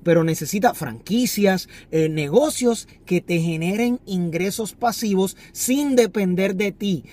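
High-voiced man coaching from a car. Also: darkish tone. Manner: earnest, instructive, repeats key phrases. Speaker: finance vlogger. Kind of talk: motivational talk to camera. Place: inside a parked car. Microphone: phone camera on the dashboard.

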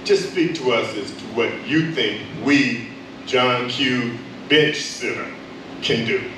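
A man's voice: mid-range voice, takes it slowly. An older man, a retired pastor, earnestly asking a question from the floor. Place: large church hall. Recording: roomy echo, distant mic, noise.

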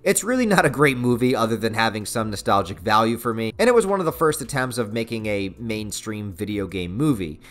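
A man's voice: lowish voice, animated, brisk, hardly any pauses, a bit bright, faint music behind. A man in his thirties with a North American accent, narrating a scripted but conversational review with casual, sarcastic asides. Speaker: video essayist, channel host.

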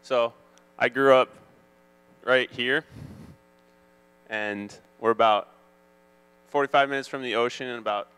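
Slow-talking young man from France. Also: medium pitch, steady tone, fairly bright tone, smooth, low-quality recording. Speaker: student panelist. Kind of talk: telling about his home country. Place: school auditorium stage.

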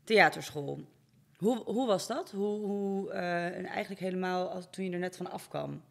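A woman's voice: on the low side, normal speed, gravelly. A young woman asking questions in a casual, conversational interview style. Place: hair salon, indoors. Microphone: podcast mic on stand.

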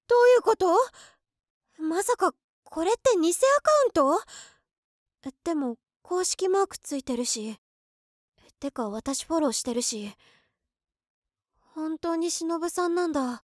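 Young female office worker, acted by a woman, high voice, slow pace, emotive self-talk, overworked, dry audio drama recording. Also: expressive, no room sound. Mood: astonished. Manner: talking to herself, emotive. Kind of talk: situation voice drama monologue.

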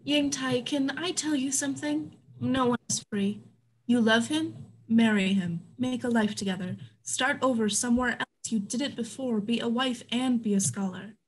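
High-voiced woman playing a world-weary businesswoman, slight hiss. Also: moderate pace, lightly acted. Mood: frank, urging. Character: world-weary, frank businesswoman advising her friend. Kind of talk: staged play reading. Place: home room on a group video call. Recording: noisy home video-call audio.

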